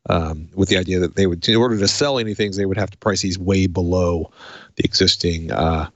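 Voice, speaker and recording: A man's voice: low-pitched, fairly quick, no room sound, lo-fi sound. A man hosting a tech podcast, talking in a conversational, emphatic way. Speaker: podcast host. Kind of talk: casual tech podcast talk and host-read ad.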